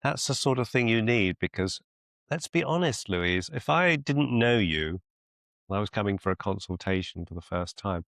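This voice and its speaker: Male business mentor, deep voice with gravelly fry, deliberate and encouraging, close dry sound on a coaching call. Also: animated, fairly bright tone, no room sound. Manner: deliberate, encouraging, repeats phrases for emphasis. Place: video call.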